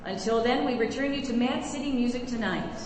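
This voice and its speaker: Actress as a formal, composed female radio newsreader, higher voice, very echoey hall. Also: brisk, natural voice. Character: composed female radio news anchor in a drama. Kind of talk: scripted radio news bulletin. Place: live theater stage. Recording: very echoey hall, faint noise.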